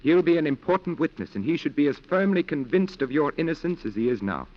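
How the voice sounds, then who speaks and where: A man's voice: fairly high pitch, lively intonation, fast, close to an everyday voice. An actor in a 1940s radio drama playing a principled, stern defense lawyer who is torn by his duty. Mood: resigned, grave. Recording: muffled 1940s radio broadcast recording.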